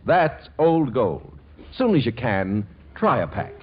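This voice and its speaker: A man announcing on 1940s radio, folksy and emphatic as he delivers a comic sponsor pitch. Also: sing-song, slow pace. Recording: muffled, slightly noisy 1940s radio recording.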